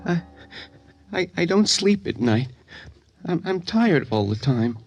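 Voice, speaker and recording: An actor playing a depressed, frightened young male patient in a radio drama, stammering and pleading in halting phrases. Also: fairly high pitch, sing-song, a little mellow, dull audio, faint music behind, heavily acted. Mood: weary, depressed.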